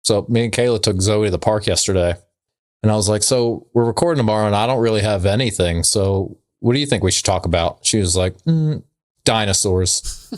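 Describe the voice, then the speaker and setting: A man's voice: lowish voice, brisk. A man co-hosting a comedy podcast, chatting casually and telling jokes in a laid-back, wry way. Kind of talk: casual banter and joke telling.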